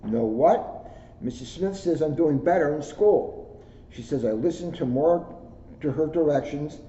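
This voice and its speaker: A man, a police officer, reading a children's book aloud with jokey, expressive storytelling and casual asides. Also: a little high, a little slow. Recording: roomy echo, muffled audio, faint noise.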